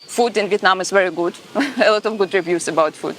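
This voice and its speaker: A woman's voice: lowish voice, a bit bright, a little hiss or hum. Young woman, careful. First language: Ukrainian. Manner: careful, pausing, simple phrasing. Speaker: interviewee. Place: beach outdoors.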